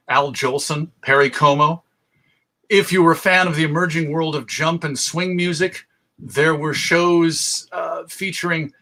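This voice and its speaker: Bright, forceful man giving a lecture. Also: on the high side. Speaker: presenter, radio show host. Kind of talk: informal history lecture.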